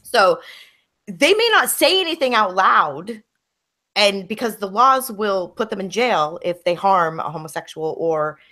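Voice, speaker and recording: Woman chatting casually, bright sharp voice, super animated, sarcastic teasing. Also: medium pitch, measured pace. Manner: sarcastic, mock-serious teasing. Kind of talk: casual conversation.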